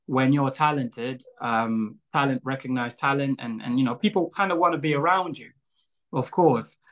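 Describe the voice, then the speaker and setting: A young man from Angola, whose first language is Portuguese, talking casually in an interview, rambling with lots of fillers and small laughs. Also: medium pitch. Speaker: guest, show creator.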